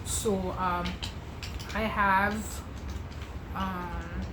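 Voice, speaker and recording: A woman, chatting to camera as a beauty vlogger in a gushing, filler-heavy way, her voice going hoarse. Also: mid-range voice, steady tone, drawn-out words, roomy sound, noisy background. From India. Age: 20s. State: losing her voice. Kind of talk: casual makeup chat to camera.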